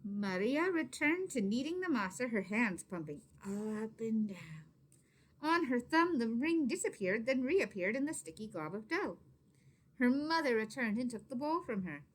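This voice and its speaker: Animated, higher-voiced woman reading to children. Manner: animated, pauses to question and explain to young listeners. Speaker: read-aloud storyteller, teacher. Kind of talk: expressive children's picture book read-aloud with asides to listeners.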